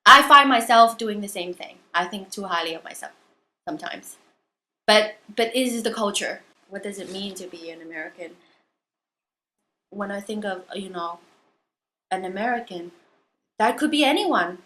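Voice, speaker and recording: Bright-voiced woman from southern China. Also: mid-range voice, lively intonation, moderate pace, pauses often, rough recording. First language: Chinese. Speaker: interviewee. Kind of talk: personal storytelling in an interview.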